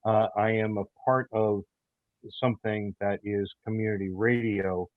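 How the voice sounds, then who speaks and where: Through a thin, phone-like connection, a man with a lowish voice speaks in a casual panel chat. He is measured and halting, picking his way through his sentences. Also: flat delivery, dry recording. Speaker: audio theater writer and producer, guest. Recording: muffled, phone-like thin audio.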